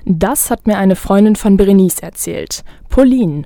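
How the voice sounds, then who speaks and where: A woman reads a radio feature script in a calm, measured way, each phrase clearly placed. Her voice is mellow and soft-edged rather than bright. The sound is dry and close, with almost no room around it. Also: medium pitch, dry recording. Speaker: radio reporter.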